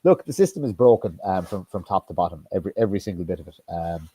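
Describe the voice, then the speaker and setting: A man talking politics in a casual discussion, halting with many restarts and fillers, blunt and sometimes swearing. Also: low voice, animated, warm tone, breathy edge.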